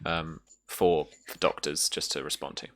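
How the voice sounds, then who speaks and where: Deep-voiced man running a tabletop game. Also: fairly even intonation, normal speed, a bit bright, no room sound. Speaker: game master. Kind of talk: improvised narration of a tabletop game.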